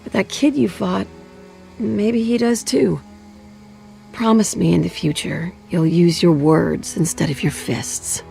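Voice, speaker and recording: A woman playing a loving, firm and worried mother in an animated game, speaking gently but firmly. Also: on the low side, slow pace, vocal fry, soft background music, full character voice. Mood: tender, worried. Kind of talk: animated game drama acting.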